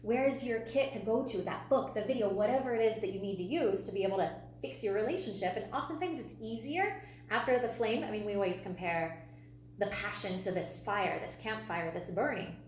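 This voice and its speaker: A woman's voice: medium pitch, a little fast. Lady podcast cohost, coach type, emphatic, lots of rhetorical questions, roomy echo w a bit of hum. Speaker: podcast co-host and relationship coach. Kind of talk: conversational podcast discussion. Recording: echoey room, faint hum.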